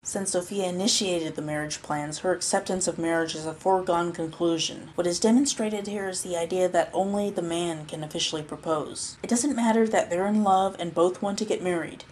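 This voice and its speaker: A woman's voice: on the low side, brisk, few pauses, darkish tone, a little hiss or hum, rough recording. A woman presenting scripted analytical commentary on a piece of media.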